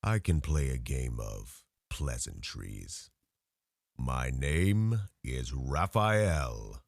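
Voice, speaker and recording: Menacing vampire hunter, played by a man, extremely low and slow, formally courteous and mocking, ASMR roleplay. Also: gravelly, darkish tone, no echo, studio quality, exaggerated voice. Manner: formal, taunting, theatrical speech.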